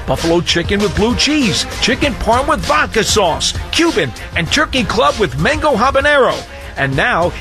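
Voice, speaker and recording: An extremely high-pitched male announcer reads radio ads with big swings in intonation. Loud music plays under the whole read. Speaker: commercial announcer. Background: loud music bed.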